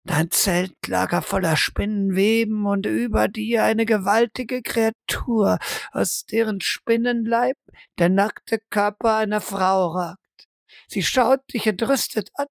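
A woman's voice: medium pitch, fairly even intonation, slow pace, gravelly, no room sound, clean studio sound. A woman narrating an audio roleplay as game master, in an atmospheric, second-person horror style.

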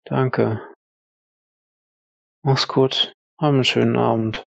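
A man's voice: medium pitch, little pitch movement, extremely slow, gravelly, no room sound, lo-fi sound, heavily acted. A man playing a stoned, weary young sniper in an audio drama, sluggish, mumbling and detached. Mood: dismissive.

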